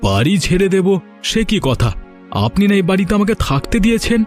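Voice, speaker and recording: A man's voice: sits high, sing-song, brisk. A man voicing an earnest, plaintive village husband in a moral-story cartoon. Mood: protesting. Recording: music behind the voice.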